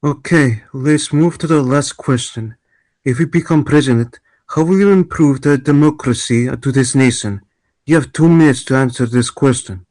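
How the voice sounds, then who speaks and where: A man's voice: mid-range voice, normal speed, a little mellow, no room sound, close to an everyday voice, low-quality recording. A man playing a formal debate moderator, dry sound. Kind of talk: amateur table read of a debate scene.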